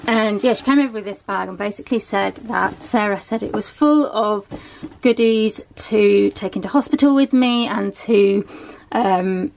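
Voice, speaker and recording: A woman, chatting casually to camera at home and showing items, with lots of ums and a voice that is going a bit hoarse. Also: mid-range voice, normal speed, hardly any pauses, a little mellow, slight background noise. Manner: chatty, many ums, shows items one by one. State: losing her voice a bit. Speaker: vlogger. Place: small room at home, indoors.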